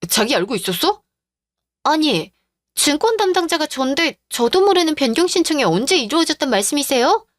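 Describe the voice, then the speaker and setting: A woman voicing a scheming, mocking mistress in a radio drama, with a taunting manner and a pitch raised well above her usual voice. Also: big pitch swings, a little fast, dry recording. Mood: defensive. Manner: taunting, sneering. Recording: dry studio voice-over with faint music.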